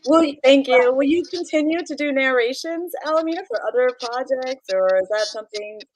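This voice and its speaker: High-voiced woman co-hosting. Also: a little fast, low-quality recording. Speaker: co-host. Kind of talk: casual interview chat.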